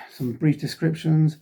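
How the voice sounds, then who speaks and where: A man's voice: fairly high pitch, fairly even intonation, slow pace, creaky, warm tone, breathy edge. A middle-aged man reviewing a wargaming magazine in a casual, rambling way, sighing often and filling gaps with ums while he gets over a cold that has him losing his voice. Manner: rambling, frequent sighs and ums.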